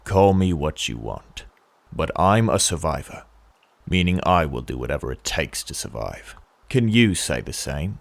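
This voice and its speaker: A hardened mercenary in an audio drama, with a very low, gravelly voice. He is cynical and mocking, and his threats swing animatedly as he delivers them deliberately. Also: big pitch swings, dry recording, heavily acted. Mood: scornful. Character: menacing cynical male mercenary, a hardened survivor.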